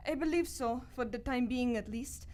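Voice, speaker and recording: A woman's voice: fairly high pitch, moderate pace, a little mellow, natural voice. A woman playing a frightened, pleading expedition member in live radio theater, stammering and breathless. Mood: uneasy.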